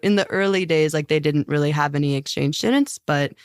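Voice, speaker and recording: Young american woman, low voice, chatty and upbeat, lots of "like", dry close mic. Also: a little fast, smooth, no echo. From United States. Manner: chatty, upbeat, many "like" fillers, laughs often. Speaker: podcast guest, language learner and singer. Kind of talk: casual interview chat.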